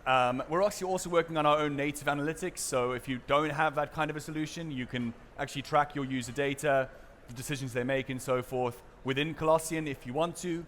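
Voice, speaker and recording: A man's voice: a little high, fairly even intonation, quick pace, a bit bright, a little hiss or hum. A South African man giving a conference seminar talk on a main stage, casual and chatty, with fillers and restarts. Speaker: presenter.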